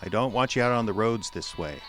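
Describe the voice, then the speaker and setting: A man acting a patient small-town bartender, plain-spoken and gently firm, in a radio drama. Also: on the low side, a little fast, soft background music, natural voice. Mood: firm, coaxing.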